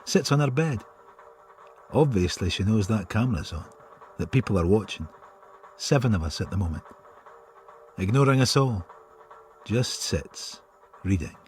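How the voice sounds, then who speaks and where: An actor playing a weary middle-aged police detective in a radio drama, with a Scottish accent and a dry, wry manner. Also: male voice, fairly low pitch, lively intonation, normal speed, long pauses, a little hiss or hum, close to an everyday voice. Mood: musing.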